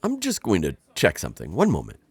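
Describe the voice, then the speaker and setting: Man playing an insectoid alien bounty hunter, low voice, very lively pitch, formal and stammering, dry close mic. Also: brisk, vocal fry, slightly rough, dry recording, full character voice. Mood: earnest. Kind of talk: ASMR roleplay.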